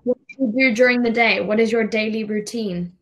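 A woman's voice: a little high, fairly even intonation, brisk, noisy background. A young woman with a South African accent, an online English tutor asking simple questions in a casual video call. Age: young adult. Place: indoors in a room, on a video call. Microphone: webcam video call.

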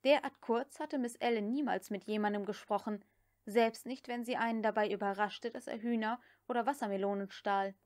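Higher-pitched woman narrating an audiobook. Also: steady tone, a little fast, a little mellow. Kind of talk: scripted literary narration.

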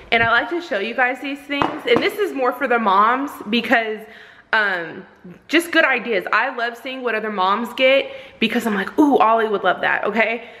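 A woman in her thirties chatting casually to viewers while filming herself at arm's length in her home kitchen, animated and slangy. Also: a little high, background noise. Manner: animated, slangy, talks straight to viewers with frequent "okay?". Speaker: vlogger. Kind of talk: casual chatty haul vlog. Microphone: handheld camera at arm's length.

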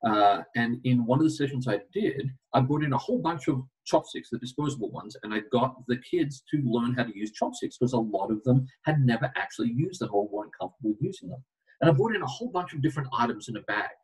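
Husky man on a video call. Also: mid-range voice, fast talker. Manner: animated storytelling with asides. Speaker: presenter. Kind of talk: webinar presentation. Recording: distant video call audio.

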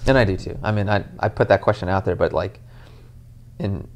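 A man in his thirties, a pastor, talking through a passage on a couch in an earnest, animated way, with lots of "you know". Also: deep voice, fairly quick. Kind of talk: casual podcast conversation. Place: living room, on a couch, indoors.

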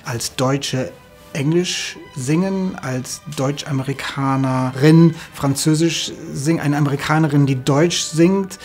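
A man's voice: on the high side, a little slow, a little mellow. A man who works as a composer and arranger, speaking in interview style about a music production. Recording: music underneath. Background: background music.

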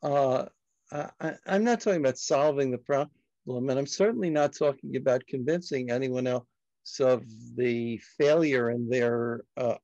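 Older guy from brooklyn, grew up on yiddish, creaky voice, halting and thoughtful, dry dull call audio. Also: medium pitch, darkish tone, dry recording. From Brooklyn, New York. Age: older, about 70s. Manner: halting, deliberate, frank. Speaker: discussion host. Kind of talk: reflective group discussion. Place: dim home study, indoors. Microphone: computer on video call. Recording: muffled video call audio.

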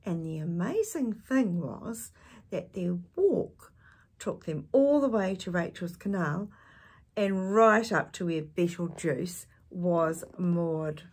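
An older woman with a husky voice reading a picture book expressively to young listeners, adding chatty asides and animated tag questions. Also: lowish voice, slow pace. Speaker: children's storytime reader. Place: small indoor space with curtain backdrop.

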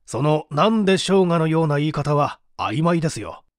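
An actor playing a composed male prosecutor who questions a suspect in a measured, formal and probing way, in a radio drama. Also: on the high side, lively intonation, no room sound, studio quality, lightly acted. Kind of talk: dramatized mystery reading.

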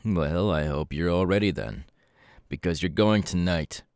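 A serious young hero mentor played by a man speaks in a low voice, earnest and measured. It is an intimate ASMR roleplay delivery, recorded very dry, as if instructing a trusted protégé. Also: darkish tone, no room sound.

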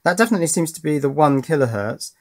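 A man's voice: a little high, lively intonation, fast. A middle-aged man informally narrating an electronics test at his workbench, thinking aloud in a self-deprecating way. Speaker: electronics hobbyist presenter.

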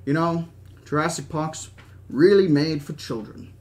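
A man's voice: fairly high pitch, unhurried, a little mellow, voice pushed up, close to an everyday voice. A man voicing a stubborn, grandiose old park founder in his seventies, with an attempted British accent and a genteel, speechifying manner. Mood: wistful. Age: 70s.

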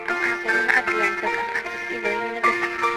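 A woman's voice: on the high side, level tone, a little fast, close to an everyday voice. A young woman playing an earnest, determined daughter turned advocate in a student radio drama. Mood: earnest, recounting. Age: young adult. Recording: loud music under the voice.

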